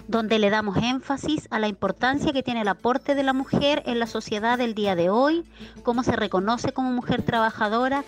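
A woman with a Chilean accent giving a prepared informational statement as a programme coordinator. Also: a little high. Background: faint music.

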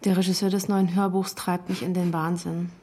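Young mother and partner, mellow, slightly breathy, casual, supportive, intimate radio drama. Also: lowish voice, level tone, normal speed. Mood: calm, casual.

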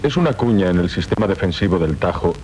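An actor playing an earnest young Castilian knight in a radio drama, measured and firm, with a Castilian Spanish accent. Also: male voice, expressive, darkish tone. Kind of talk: 1970s radio drama acting. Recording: noisy 1970s radio recording with rumble.

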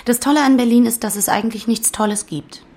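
A woman's voice: on the high side, fairly even intonation, fast, a little mellow. A woman playing a worldly, wry Berlin publisher in a German radio play, speaking in an arch, playful way. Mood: wry, breezy.

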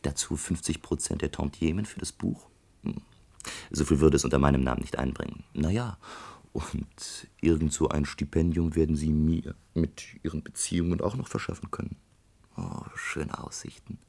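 A man's voice: rumbling low, moderate pace, gravelly, a little mellow, breathy edge, full character voice. A man playing a brazen young student blackmailer in a German radio play, sardonic, taunting and smug. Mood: self-satisfied. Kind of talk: German radio drama acting.

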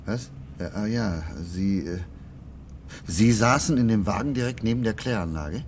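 An actor playing an earnest, excitable doctor in a German radio play, courteous and hesitant, often repeating his words. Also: lowish voice, normal speed, a little hiss or hum, close to an everyday voice. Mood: concerned. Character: earnest male doctor investigating strange disturbances, courteous, excitable.

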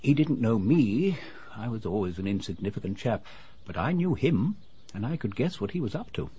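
An actor playing an unassuming but knowing retired English civil servant, older, genteel and confidential, in a radio drama. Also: male voice, lowish voice, very animated, normal speed, dark tone, a little hiss or hum. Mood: sly, confiding.

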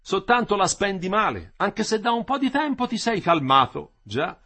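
A man voicing a male bricklayer, a sharp-tongued anarchist, with a slightly hoarse, precise voice. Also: high-pitched, big pitch swings, normal speed, exaggerated voice. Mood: mocking.

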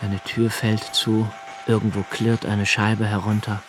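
An actor playing an anxious, observant young poet narrating a radio play in a slow, hushed, intimate way, sometimes sinking to a near whisper. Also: male voice, lowish voice, flat delivery, close to an everyday voice. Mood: brooding. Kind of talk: literary radio drama reading.

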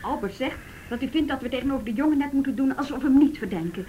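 A woman's voice: fast talker, warm tone, close to an everyday voice. An actress playing a conscientious, plain-spoken and slightly wry female juvenile police officer in a radio drama. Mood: uneasy. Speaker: actor in a radio play. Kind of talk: Dutch radio drama acting. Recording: noisy radio play recording with rumble.

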